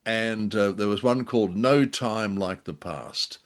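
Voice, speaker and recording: A man co-hosting a podcast, reviewing radio drama in a halting, measured way with dry understatement. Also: lowish voice. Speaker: podcast co-host.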